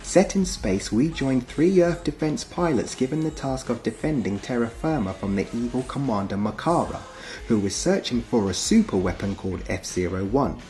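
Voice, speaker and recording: A man's voice: medium pitch, expressive, moderate pace, dark tone, faint music behind. A man reading scripted narration in the style of a nostalgic documentary countdown.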